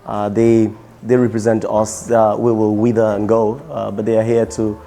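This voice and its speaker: Mellow-voiced man, voice worn. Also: fairly low pitch, completely flat delivery. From Cameroon. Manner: halting, with frequent repeated words. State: tired, strained voice. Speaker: musician guest. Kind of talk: casual interview, reading out quick-fire questions.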